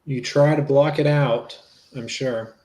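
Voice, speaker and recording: A man playing a plain-spoken, gently probing and reassuring investigator in a dramatic reading of a police interview. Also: mid-range voice, steady tone, slow pace, natural voice.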